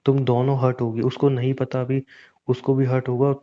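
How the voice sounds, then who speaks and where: A man's voice: mid-range voice, monotone, fairly quick, lo-fi sound, lightly acted. A man playing a weary, guilt-ridden young husband in a naturalistic relationship drama, earnest and pleading, often repeating himself. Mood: apologetic, cautious. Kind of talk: naturalistic Hindi relationship audio drama, staged as a private conversation.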